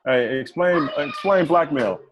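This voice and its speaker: A man with a fairly high voice joins the class discussion, jumping in eagerly and repeating words as he gets going. His sound is dull and lo-fi. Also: measured pace, background noise. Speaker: class participant.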